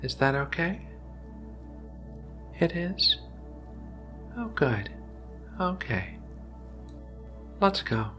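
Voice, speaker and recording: A gentle giant alien cat woman, played by a man, speaks slowly in a soothing ASMR roleplay. The voice is bright and crisp-edged, caring and a little hesitant, over clearly audible music. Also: fairly high pitch, very animated, heavily acted. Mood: gentle, coaxing. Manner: soothing, hesitant, slow reassuring delivery. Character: gentle giant feline alien researcher Ragna, female, caring.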